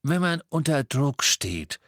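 A kindly middle-aged father speaks calmly and reassuringly, voiced by a male reader. The sound is dry and close. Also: on the high side, takes it slowly, dry recording, voice pushed up, exaggerated voice. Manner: calm, reassuring.

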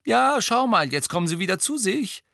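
A man voicing a cheeky, brash teenage prankster twin in an audiobook story, loud, breezy and quick to argue. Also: medium pitch, expressive, dry recording, studio quality. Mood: agreeable.

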